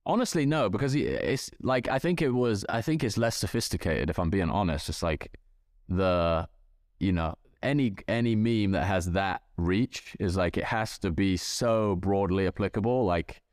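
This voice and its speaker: A man's voice: sits low, lively intonation, moderate pace, a little mellow, no echo, studio quality. A man speaking as a podcast guest, reflective and thinking aloud with frequent hedges. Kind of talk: casual podcast chat.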